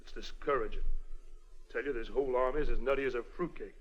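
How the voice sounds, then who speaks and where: An actor playing a brusque, exasperated army major with an American accent in a 1950s radio drama, curt and sardonic. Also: male voice, medium pitch, fast, a bit bright, lightly acted. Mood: sardonic, resigned. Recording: dull vintage radio recording.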